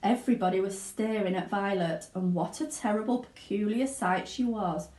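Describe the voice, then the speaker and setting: A woman reading a children's storybook aloud as narrator, in an expressive style. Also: mid-range voice, normal speed, roomy sound, rough recording. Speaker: school teaching assistant reading aloud.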